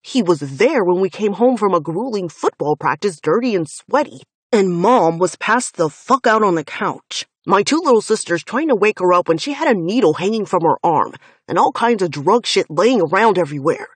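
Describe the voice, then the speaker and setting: A woman's voice: fairly low pitch, big pitch swings, normal speed, a little mellow, slightly rough, dry recording. A woman reading an audiobook voices an angry, protective young man who swears and presses every word with intensity. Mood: furious.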